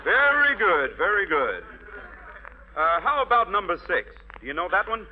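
A man's voice: high-pitched, big pitch swings, normal speed. A man playing a lenient army officer quizzing a soldier as the straight man in a 1940s radio comedy, on a muffled, noisy old broadcast. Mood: indulgent, official.

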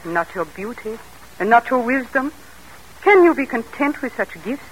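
Frank French-accented governess. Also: female voice, a little high, sing-song, normal speed, slightly rough, low-quality recording. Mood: concerned, chiding. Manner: gently admonishing. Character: caring, frank French governess and music teacher. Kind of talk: old-time radio drama acting. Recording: old radio broadcast recording.

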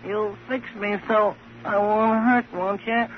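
A man's voice: sits high, fairly even intonation, slow pace, voice pushed up. A man voicing a childlike, cheeky, wounded ventriloquist's dummy in old-time radio drama. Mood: hurt, plaintive. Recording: dull, noisy old radio recording.

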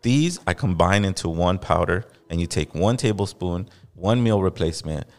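A man's voice: sits low, lively intonation, moderate pace, faint music behind. A man hosting a casual podcast chat, laid-back and loose, calling his guest bro and swearing freely. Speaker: podcast host. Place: podcast studio in Los Angeles.

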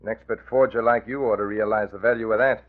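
A man's voice: fairly low pitch, steady tone, fast, close to an everyday voice. A man playing a smug, scheming crime boss with commanding, slangy talk in a 1940s radio drama. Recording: tinny old radio recording.

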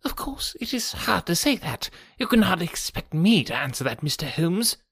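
A man voicing a nervous, frightened gentleman in an audiobook, with a reedy, quivering voice and a fussily polite, agitated manner. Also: high voice, sing-song, moderate pace, no echo, pitched above the performer's own voice, full character voice. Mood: nervous, evasive. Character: nervous, jittery, very fat sickly middle-aged gentleman, frightened. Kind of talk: audiobook character voice.

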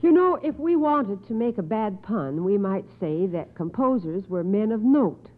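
A woman's voice: medium pitch, big pitch swings, a little slow, slight background noise. A woman hosting an old radio quiz show in a courteous, schoolteacherly manner with precise enunciation, affirming contestants. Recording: muffled phone-like old radio recording.

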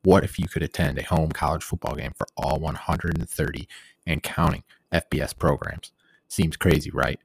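A man's voice: extremely low pitch, fairly even intonation, moderate pace, creaky, breathy edge, no room sound, low-quality recording. A man reading the scripted intro to his own podcast as its host. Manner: reads a prepared promo.

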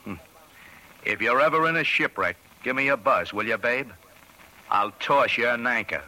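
An actor playing a tough, sardonic American carnival barker, slangy and hard-bitten, in a 1940s radio drama. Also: male voice, mid-range voice, lively intonation, moderate pace, background noise, lightly acted. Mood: cold, bitter.

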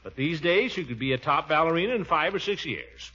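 An American actor playing a pompous, chuckling, good-natured middle-aged uncle in a 1940s style radio comedy. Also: male voice, on the high side, lively intonation, a little fast, pushed and bright, slightly rough, full character voice. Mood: proud, defensive. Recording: dull old radio recording.

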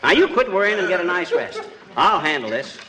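An actor playing a cocky, wisecracking, grumbling husband in a 1940s radio comedy, with slangy homespun speech. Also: male voice, high-pitched, huge pitch swings, a little fast. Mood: cocky, breezy. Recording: noisy vintage radio recording.

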